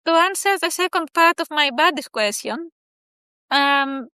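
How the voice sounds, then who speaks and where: High, bright-voiced Greek-native woman. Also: animated, measured pace, dry recording. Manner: thoughtful, hesitant with many fillers. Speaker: poet guest. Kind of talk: casual interview answers.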